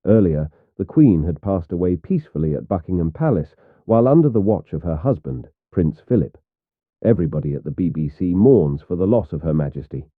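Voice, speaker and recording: A man reading a solemn scripted news bulletin in a formal, measured way, as a news announcer. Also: sits low, expressive, no room sound. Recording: tinny, phone-like narrow band.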